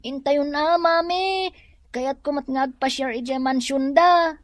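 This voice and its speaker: High-pitched, eager little child voiced by a woman. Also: unhurried, dry recording. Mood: eager, delighted. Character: eager, curious young child, wide-eyed and pleading. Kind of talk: Ilocano radio-style drama acting.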